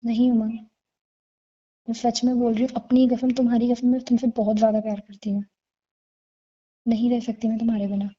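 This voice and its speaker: A woman's voice: fairly high pitch, flat delivery, brisk, long pauses, dark tone, lightly acted. A woman playing a remorseful young woman who earnestly pleads her love in an intimate phone-call drama. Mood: pleading. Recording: dull lo-fi call recording.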